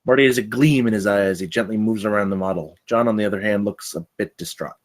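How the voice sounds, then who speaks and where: A man's voice: on the low side, fast. A man reading stage directions as the narrator of a screenplay table read.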